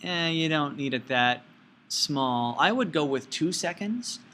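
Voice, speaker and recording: A man giving informal step-by-step software instruction as a teacher, with folksy, playful phrasing. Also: a little high, lively intonation, measured pace. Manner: folksy, playful asides and sound words.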